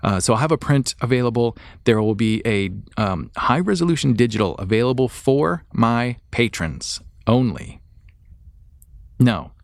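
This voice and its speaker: A man's voice: medium pitch, expressive, normal speed, gravelly, no room sound, studio quality. A man hosting a podcast solo, chatting informally and enthusiastically to his listeners and thinking aloud as he goes. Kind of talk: casual rambling show update to listeners.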